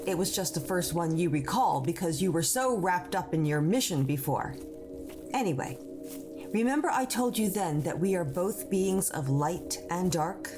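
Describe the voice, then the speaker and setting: A woman's voice: lowish voice, expressive, moderate pace, lightly acted. A woman playing a powerful, devoted moon being, earnest and persuasive, in an audio drama. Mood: calm, confiding. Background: music under the voice.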